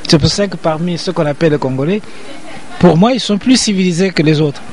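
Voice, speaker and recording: A man's voice: a little high, a little fast, slight background noise. An older man, a professor, talking as an interview guest in an emphatic, lecturing way with frequent repetitions.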